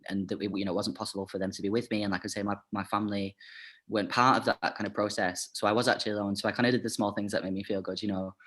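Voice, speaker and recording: A man's voice: fairly low pitch, flat delivery, very fast, fairly bright tone. A man chatting as an interview guest, talking casually with lots of fillers and "like".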